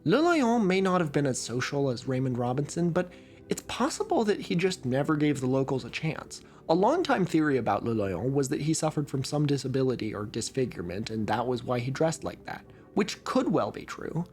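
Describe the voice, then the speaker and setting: A man from Oregon narrating a documentary in a wry, conversational storytelling manner. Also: fairly quick. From Oregon, USA. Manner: wry, conversational storytelling with lively pitch swings.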